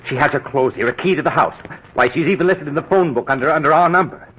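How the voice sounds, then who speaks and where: An actor playing a nervous, resentful husband in a 1940s radio drama. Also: male voice, fairly high pitch, quick pace, a bit bright, breathy edge. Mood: exasperated. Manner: nervous, exasperated. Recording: muffled hissy old radio broadcast.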